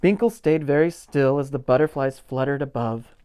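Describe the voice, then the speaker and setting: A man reading a picture book aloud as a pastor at storytime, with gentle expression and simple asides for young children. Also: mid-range voice, moderate pace, smooth. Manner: gentle, expressive, stretches key words for emphasis.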